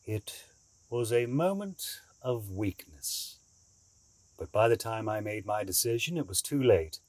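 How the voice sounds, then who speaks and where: Low-voiced, grave male schemer played by an actor. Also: animated, a little mellow, dry recording. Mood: grave, recounting. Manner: measured, cryptic, formal. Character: grave, secretive male schemer, an escaped captive bargaining. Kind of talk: audio drama acting.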